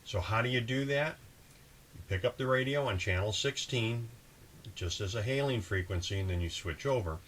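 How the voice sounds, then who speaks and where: A man with a fairly low voice hosts a casual how-to talk on boating. He speaks conversationally and practically, as if walking a viewer through the steps. There is some ambient noise behind him. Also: moderate pace. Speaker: host of a boating channel. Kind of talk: casual instructional talk with example radio calls. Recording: clear steady background ambient noise.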